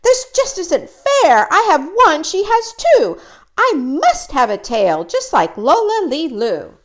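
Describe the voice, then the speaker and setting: A vain, jealous little girl bird, as a woman acts her out in a storybook reading. She squeals and whines in a high voice that leaps all over the place, drawn out slowly. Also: heavily acted. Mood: pleading. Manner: whiny, squealing, excited. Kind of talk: storybook read-aloud.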